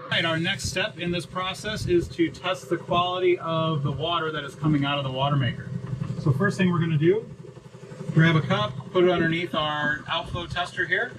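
A man's voice: a little high, fairly even intonation, normal speed, distant mic, background noise. A man in his thirties walking through a repair step by step while working in a cramped sailboat cabin, recorded on a nearby action camera. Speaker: boat owner showing a repair. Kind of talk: hands-on how-to walkthrough.

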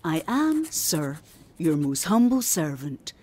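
A woman's voice: low voice, sing-song, takes it slowly, a little mellow, a little hiss or hum, exaggerated voice. An actress playing a genteel eighteenth-century lady, formal, earnest and admonishing, reading out a letter in a radio drama.

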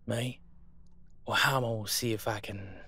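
A man playing a gruff, scornful veteran monster hunter in an audio roleplay, folksy and bossy. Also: lowish voice, fairly even intonation, a little slow, pushed and bright, heavily acted. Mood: impatient.

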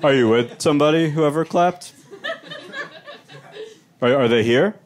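A young man performing a stand-up comedy set on a club stage, wry and animated, with laughter from the audience. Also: measured pace, background noise. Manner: wry, animated, jumps into high-pitched voices for quoted people.

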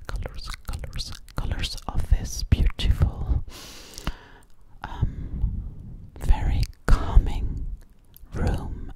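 A woman playing a sweet, slightly sassy alien girl in an ASMR roleplay, whispering breathily close to the microphone and repeating her words soothingly. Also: natural voice. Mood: soothing, playful. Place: dim living room indoors. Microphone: close-up at a large ASMR microphone.

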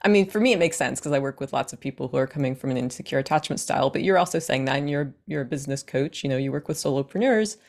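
A low-pitched woman in her thirties talks over a video call from her living room. She explains as a guest coach, unhurried and clear, and reaches for everyday comparisons to make a point. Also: expressive, darkish tone. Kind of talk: explanatory interview answers. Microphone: webcam on a video call.

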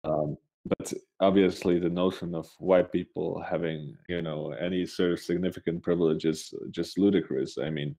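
A man from Switzerland talks in a very low, soft-edged voice. He is co-hosting a casual podcast and speaks off the cuff, often halting to find his words. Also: steady tone, vocal fry. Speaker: podcast co-host. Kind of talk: casual, unscripted political chat.

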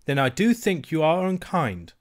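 A man's voice: on the high side, very animated, moderate pace, voice pushed up. A man voicing a fussy, easily vexed woman nurse who scolds crossly. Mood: offended.

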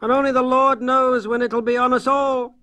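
A man's voice: very high voice, level tone, normal speed, pushed and bright, voice pushed up. A man voicing a terrified rural New England villager in a horror audiobook, choked and wailing. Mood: panicked, despairing.

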